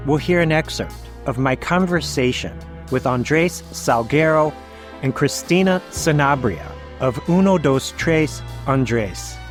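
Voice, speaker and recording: Male public-radio host, mid-pitched, steady delivery, soft music bed. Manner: measured, introduces and asks questions. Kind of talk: broadcast interview hosting.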